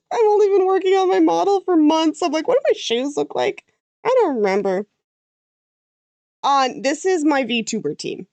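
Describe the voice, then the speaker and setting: A woman's voice: extremely high pitch, animated, a little slow, long pauses, darkish tone, no echo. A Canadian woman chatting casually while she draws on a livestream, thinking aloud and trailing off mid-sentence. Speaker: VTuber artist streaming her drawing.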